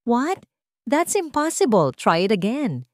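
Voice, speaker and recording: A woman's voice: a little high, sing-song, slow, no echo, studio quality, pitched above the performer's own voice. Woman putting on a desperate ruined rich man. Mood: indignant. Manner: strained, outraged outbursts.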